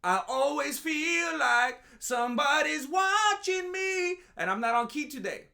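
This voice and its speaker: A high-pitched man rambles through a casual commentary monologue, full of sarcasm and self-mocking jokes. He restarts his sentences often and sounds a little groggy, as if just up from a nap. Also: expressive, a little slow, creaky, pushed and bright. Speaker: MMA commentary vlogger.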